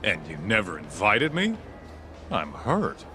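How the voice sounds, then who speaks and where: A mocking, blunt sidekick in a game cutscene. His slightly low voice moves with lively intonation over faint music. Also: slow, exaggerated voice. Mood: sarcastic, needling. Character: brash, needling male sidekick of a detective. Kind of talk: English video game dub cutscene acting.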